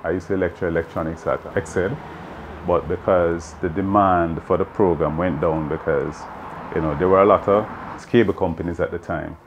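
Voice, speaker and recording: Jamaican math teacher in his fifties, very low voice, earnest and explanatory, light background noise outdoors. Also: fairly even intonation, moderate pace, dull audio. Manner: earnest, explaining with many "you know"s. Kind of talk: interview answers. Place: open veranda outdoors.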